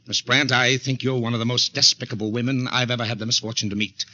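An actor playing a harried, irritable agency boss, brusque and exasperated, in 1940s radio drama style. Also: male voice, mid-range voice, steady tone, quick pace, bright, forceful tone. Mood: grudging, curt. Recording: dull 1940s radio recording.

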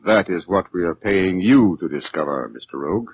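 Formal, low-voiced gentleman. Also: lively intonation. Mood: businesslike. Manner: formal, deliberate. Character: formal, measured businesslike gentleman. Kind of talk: 1940s radio drama acting. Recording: muffled, noisy 1940s radio recording.

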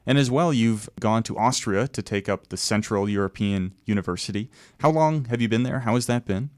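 A man's voice: lowish voice, brisk, dry recording, clean studio sound. A man hosting an interview, asking curious follow-up questions. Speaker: host.

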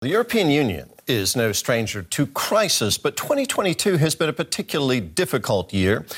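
A man narrating a news report in a formal, measured way. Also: mid-range voice. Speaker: news correspondent.

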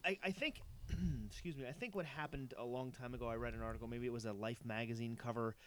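A man of about forty talks quickly in a loose podcast chat. He rambles, restarts his sentences and laughs between thoughts. He speaks at a middling pitch. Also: steady tone. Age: 40s. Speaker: podcast co-host. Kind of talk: casual chat.

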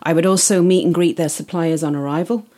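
A middle-aged woman with an Irish accent, a guest explaining her work in a casual podcast interview, steady and detailed. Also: on the low side, fairly quick. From Isle of Man. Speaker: guest, wedding PA.

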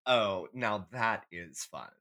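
A man's voice: on the low side, lively intonation, slow, sharp tone. A man co-hosting a podcast, chatting casually in a playful, slangy way. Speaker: podcast co-host. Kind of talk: casual chat.

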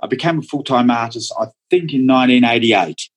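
A man's voice: mid-range voice, a little fast. A man, a Dutch speaker, chatting casually as a guest painter in an interview, rambling and hesitant. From Australia. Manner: rambling, hesitant, often starts with "look".

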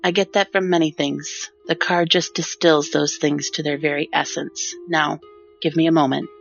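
Woman as creaky-voiced, solemn fortune-telling queen. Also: low-pitched, little pitch movement, normal speed, dull audio, faint music behind. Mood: cryptic, foreboding. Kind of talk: formal, cryptic audio drama dialogue.

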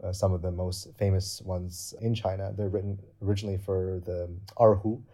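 A man's voice: sits low, level tone, moderate pace, dark tone. A young man, a cellist, explaining his project in an interview, earnest and thinking aloud as he talks. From Canada. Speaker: guest cellist. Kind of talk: interview answers.

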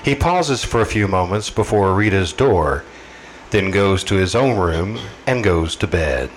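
A man's voice: low voice, expressive, unhurried, noisy background. An actor playing an ominous, all-knowing narrator of an old-time radio suspense story, a man telling it as scripted narration. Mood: suspenseful, measured. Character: ominous omniscient male mystery narrator. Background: music.

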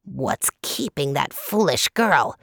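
A woman voicing a huge, bossy male condor who holds a girl captive, speaking in a gruff, suspicious and threatening way. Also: deep voice, lively intonation, takes it slowly, dry recording, clean studio sound, voice pushed down, heavily acted.

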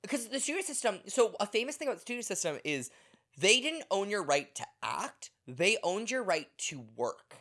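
A man's voice: high voice, sing-song, normal speed, pushed and bright. Rambling man co-hosting a podcast. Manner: rambling, explains at length. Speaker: podcast co-host. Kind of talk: casual podcast chat.

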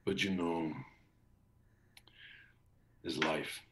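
A man voicing a quiet, deep-voiced male soldier with an Arabic accent, talking in a low, brooding way over a video call. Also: steady tone, extremely slow, full character voice. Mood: mournful.

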